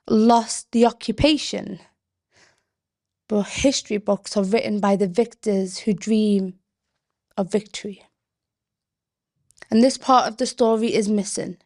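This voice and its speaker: A woman poet from Britain giving a spoken-word reading, delivered in deliberate, expressive, measured phrases. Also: mid-range voice. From Britain, of Yemeni family.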